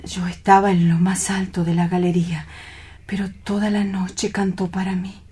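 Soft, breathy, lovelorn romantic dreamer acted by a man. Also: sits high, flat delivery. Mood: dreamy nostalgia. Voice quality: soft, breathy, half-whispered. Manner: lyrical, wistful, drawn-out reminiscing. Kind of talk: radio play acting.

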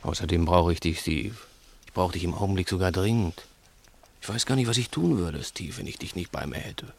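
An actor in a 1960s German radio play playing a cunning, domineering crook who is menacing, insistent and patronising. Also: male voice, low-pitched, brisk, gravelly. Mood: coaxing, brooding. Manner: insistent, theatrical, probing with rhetorical questions.

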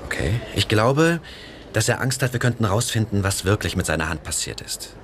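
A man's voice: on the low side, animated, normal speed, natural voice. A man playing an anxious policeman who coaxes and hesitates, in a German radio drama. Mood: hesitant, probing. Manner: hesitant, coaxing. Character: anxious, wheedling policeman angling for information. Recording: clear background ambience noise.

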